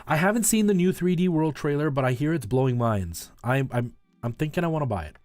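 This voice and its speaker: A man's voice: a little high, animated, fairly quick, a little mellow, dry recording. A man streaming, chatting casually with his viewers and explaining things in a conversational way, often checking in with them. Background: music.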